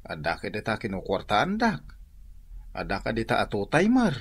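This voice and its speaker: A man's voice: on the low side, huge pitch swings, normal speed, vocal fry, breathy edge, heavily acted. A man playing a loyal, slightly boastful young husband in a comedy radio drama, bantering conversationally. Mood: defensive, proud.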